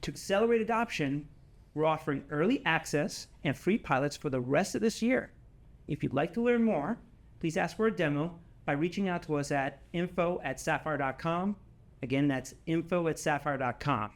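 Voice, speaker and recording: Higher-pitched man, emphatic company presenter. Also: lively intonation, normal speed. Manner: emphatic, repeats key phrases. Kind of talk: scripted product announcement.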